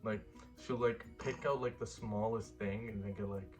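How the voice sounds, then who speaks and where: A man's voice: on the low side, fairly even intonation, normal speed, a little mellow, faint music behind. A man in his early twenties chatting casually in a couple Q&A vlog, easygoing and rambling with fillers. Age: 20s. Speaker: vlogger.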